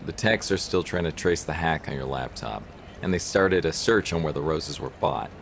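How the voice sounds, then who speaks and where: Deep-voiced, determined male detective. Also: lively intonation, normal speed, creaky, a little hiss or hum, exaggerated voice. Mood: reassuring. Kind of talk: audio drama acting.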